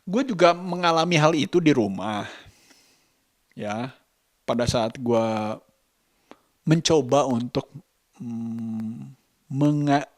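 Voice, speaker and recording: A man's voice: medium pitch, no room sound, studio quality. A man talking reflectively in a casual vlog, hesitant and often searching for words. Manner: hesitant, halting, searching for words with repeated phrases. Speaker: vlogger and host.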